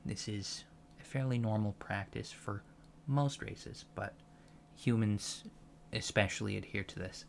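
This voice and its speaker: Soft-spoken, slow, low-voiced man lecturing. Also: vocal fry, slight background noise. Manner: halting, pedantic, explanatory asides. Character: patient alien professor lecturing on humans, fascinated by them. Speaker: ASMR roleplay performer playing an alien college lecturer.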